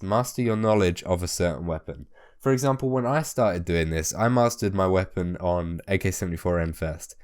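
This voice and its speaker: A man hosting a gaming video, a little hoarse as his voice comes back, talking tips in a chatty way and swearing casually. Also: low-pitched, darkish tone. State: hoarse, recovering from losing his voice. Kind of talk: casual spoken game tips.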